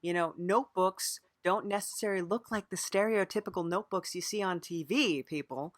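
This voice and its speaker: Casting: improv comedy podcast host, medium-pitched voice, deadpan sympathy, hesitant phrasing, very little room sound. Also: a little fast, no echo, studio quality. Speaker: podcast interviewer. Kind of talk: improvised mock interview.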